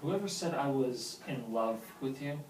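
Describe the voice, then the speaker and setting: An actor playing a lovesick, wounded man with a sarcastic edge, halting and emphatic in a naturalistic drama read-through. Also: medium pitch, animated, darkish tone, natural voice. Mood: hurt, sarcastic. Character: lovesick, wounded, sarcastic man confessing love to his friend. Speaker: actor at a script table read. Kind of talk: naturalistic film drama dialogue read aloud. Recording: roomy echo, distant mic, faint noise.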